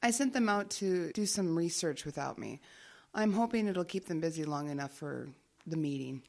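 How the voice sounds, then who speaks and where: Female, cagey undercover investigator, lowish voice, measured and businesslike, radio-play delivery. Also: lively intonation, vocal fry, darkish tone. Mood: businesslike, urgent. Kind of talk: audio drama acting.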